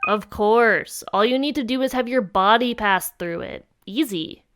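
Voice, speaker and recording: A woman's voice: fairly high pitch, a little slow. Woman voicing alien troll girl, smug, teasing, condescending, audio drama prankster, faint background noise with clicks. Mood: smug, mocking. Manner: mocking, condescending.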